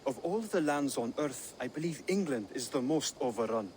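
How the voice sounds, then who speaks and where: A man's voice: mid-range voice, expressive, moderate pace, darkish tone, slight background noise, lightly acted. An actor playing an earnest young assassin in a video game, explaining his creed formally and solemnly. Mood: solemn, fervent. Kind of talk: dramatic video game cutscene acting.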